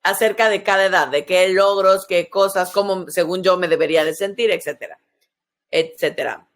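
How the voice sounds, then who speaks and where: A woman's voice: fairly low pitch, normal speed. A woman in her forties with a Mexican accent speaks as a podcast host. She explains things conversationally, step by step, and often adds short questioning tags.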